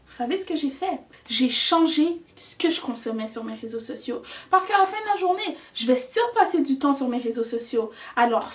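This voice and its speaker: A woman's voice: sits high, recorded from a distance. A young woman giving earnest, chatty life advice in a vlog, slipping in English words. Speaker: vlogger.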